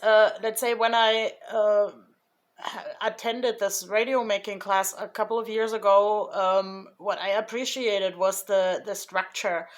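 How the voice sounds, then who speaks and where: Slow, hesitant woman vlogger. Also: mid-range voice. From Germany. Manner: hesitant, many fillers and restarts; slow, thoughtful delivery. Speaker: YouTuber. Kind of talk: casual vlog recap.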